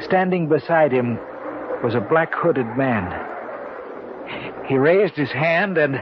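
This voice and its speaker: An actor playing an uneasy, haunted man in a 1940s radio horror drama, with halting, dramatic delivery. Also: a little high, animated. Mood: haunted, brooding. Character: uneasy, haunted man recounting an eerie night. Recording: noisy, dull 1940s radio recording.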